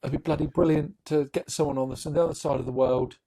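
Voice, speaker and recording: A man's voice: a little high, steady tone, fast, vocal fry, mellow, slightly rough. A man co-hosting a podcast in casual, joking banter, swearing freely. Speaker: podcast co-host.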